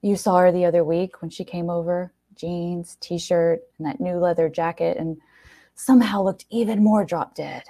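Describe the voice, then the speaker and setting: A woman's voice: fairly low pitch, steady tone, moderate pace, warm tone. A woman voicing a nervous, lovestruck young man in a story, earnest and gushing. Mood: nervous, smitten. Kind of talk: storybook character dialogue.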